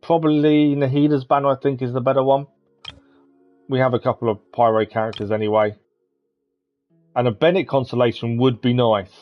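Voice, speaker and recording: Man, game streamer, casual commentary, medium pitch, dull lo-fi mic, background music. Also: fairly even intonation, brisk, long pauses.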